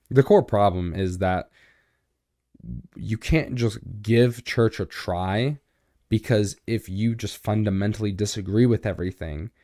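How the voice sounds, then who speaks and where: A young man co-hosting a podcast in a small home studio, with a deep, boomy voice and a relaxed, rambling way of talking full of fillers. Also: dry recording. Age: young adult. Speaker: podcast co-host. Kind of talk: casual chat. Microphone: studio mic on boom arm.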